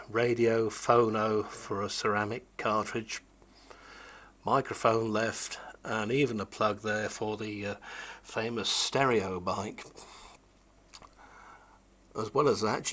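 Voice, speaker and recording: A man with a somewhat low voice walks through vintage equipment. He explains things casually and chattily. He drops wry asides along the way. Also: fairly even intonation, unhurried, a bit bright. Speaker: vintage audio repairer. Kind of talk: casual demonstration.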